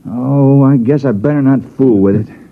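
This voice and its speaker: An actor playing an easygoing, folksy resident agent at a backwater outpost, with deadpan humor, in a 1950s radio drama. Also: male voice, medium pitch, moderate pace, lightly acted. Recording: muffled old radio recording.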